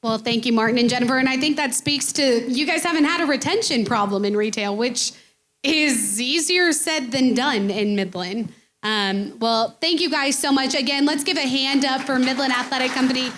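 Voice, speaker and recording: Woman, high-pitched voice, event host, live emceeing, addressing an audience. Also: normal speed, a bit bright.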